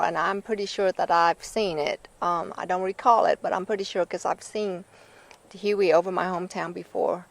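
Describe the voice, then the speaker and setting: Middle-aged woman from vietnam, kinda halting, moved, trips over her words. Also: on the low side. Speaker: interviewee, author. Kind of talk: emotional interview answers.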